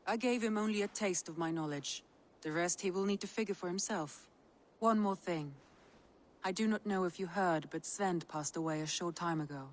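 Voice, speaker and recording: A woman playing a shrewd, composed Viking advisor in a video game, measured and knowing. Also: on the low side, slight background noise, close to an everyday voice. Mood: wry, composed. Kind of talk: video game cutscene acting.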